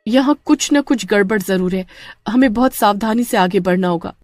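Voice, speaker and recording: A woman's voice: medium pitch, normal speed, darkish tone, dry recording, studio quality, lightly acted. A woman playing a cautious, earnest young female adventurer in a dramatic story. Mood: wary.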